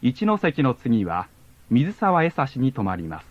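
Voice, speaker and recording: A man reading scripted onboard train announcements formally and politely in measured phrases, on a lo-fi cassette recording. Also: mid-range voice, wildly swooping pitch, dry recording. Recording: dull lo-fi cassette tape.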